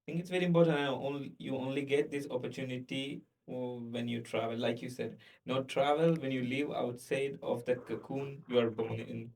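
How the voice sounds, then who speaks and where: A man's voice: medium pitch, fairly even intonation, a little fast, creaky, few pauses, a little mellow, far from the mic, rough recording. A man from India hosting a podcast, talking casually and earnestly in a reflective way. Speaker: podcast host.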